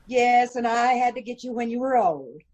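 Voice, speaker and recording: A woman's voice: on the high side, lively intonation, moderate pace, darkish tone, lo-fi sound. A woman playing an affectionate, playfully needling wife in an old-time radio comedy-mystery. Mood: teasing. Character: Nora Charles, teasing affectionate wife of a detective.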